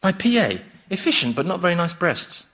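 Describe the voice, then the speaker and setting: An actor playing a vain, defensive businessman in a radio sitcom, stammering and whining in excitable protest. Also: high-pitched, fairly quick, exaggerated voice. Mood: uneasy. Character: vain, selfish, defensive dead businessman, self-pitying and excitable.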